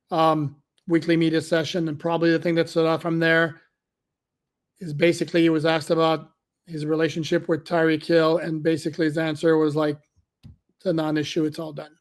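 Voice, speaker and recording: Man with a flat, higher voice. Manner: conversational, fillers, self-correcting. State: voice cracking. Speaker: podcast host. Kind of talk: solo sports podcast commentary.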